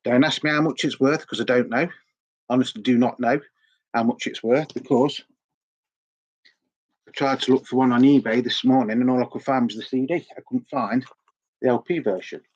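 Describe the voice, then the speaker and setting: A man's voice: medium pitch, fairly even intonation, normal speed, pauses often, husky, lo-fi sound. A middle-aged man with a sore throat, chatting in a rambling, repetitive way as host of a record collecting vlog. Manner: rambling, chatty, repeats words often. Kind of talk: casual vlog chat.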